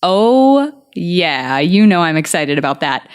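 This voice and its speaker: A woman's voice: on the low side, very animated, takes it slowly, clean tone. A woman hosting a podcast interview, enthusiastic and upbeat. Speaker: podcast host.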